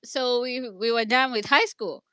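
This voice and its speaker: A woman's voice: fairly high pitch, unhurried, pushed and bright, clear, resonant tone, no echo. A woman with a Kenyan accent telling a personal story in an online discussion, earnest and hesitant, with many restarts. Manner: earnest, speaks from her own experience. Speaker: speaker in an online audio discussion.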